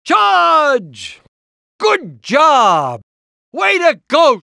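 A man's voice: very high voice, wildly swooping pitch, extremely slow, creaky, pushed and bright, no room sound, clean studio sound, heavily acted. A man voicing an anxious, encouraging, portly middle-aged rooster father in a cartoon video game, with a hesitant, rambling, fatherly manner. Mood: excited cheering. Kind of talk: animated video game character lines.

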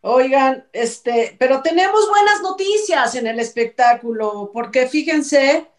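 A woman's voice: high voice, animated, a little slow. A middle-aged Mexican woman co-hosting an entertainment show in casual panel chat. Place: indoor room with wooden blinds. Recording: roomy, echoey video call.

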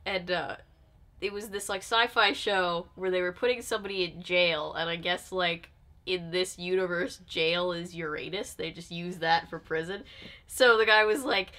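A woman's voice: on the low side, fairly even intonation, normal speed, pushed and bright. A woman in her twenties hosting a casual reaction chat as a YouTuber, indoors at desk distance from the mic. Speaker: YouTube host. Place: small furnished room indoors.

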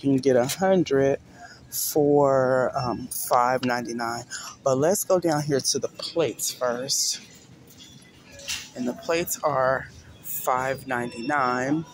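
A woman's voice: extremely low pitch, slow pace, a little hiss or hum. A woman in her forties, a mom vlogger chatting casually and a little sleepily to viewers while shopping on a budget, filmed on a handheld phone. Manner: chatty, folksy, talks to viewers as "y'all" and "baby". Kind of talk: casual shopping vlog talk. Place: parked car, then a big-box store. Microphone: handheld phone camera.

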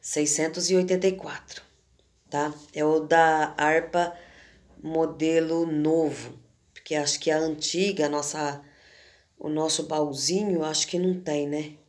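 Slow hoarse woman with a cold. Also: deep voice, steady tone, darkish tone. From Brazil. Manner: slow, homely talk with frequent "né" and "gente". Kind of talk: casual devotional chat to viewers. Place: home room indoors. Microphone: phone camera at arm's length.

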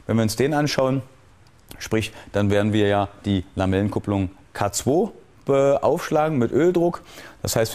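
A man giving an explanatory technical walkthrough as an instructor, often halting to search for the right term. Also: lowish voice, darkish tone.

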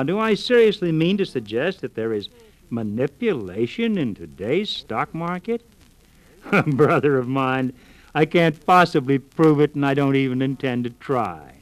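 Male actor as opinionated commentator, medium pitch, rhetorical, emphatic, assured, radio comedy. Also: no room sound, close to an everyday voice, low-quality recording.

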